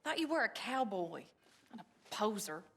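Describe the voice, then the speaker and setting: A woman's voice: medium pitch, sing-song, moderate pace, fairly bright tone, breathy edge, heavily acted. An American woman playing a plain-spoken frontier sweetheart in a video game, wry and teasing. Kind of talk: video game acting, casual banter.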